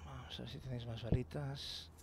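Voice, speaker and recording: Man, casual let's play commentator, swears a lot, gravelly voice, noisy recording. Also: medium pitch, steady tone, fairly quick. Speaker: gameplay commentator. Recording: voice-over with noticeable noise.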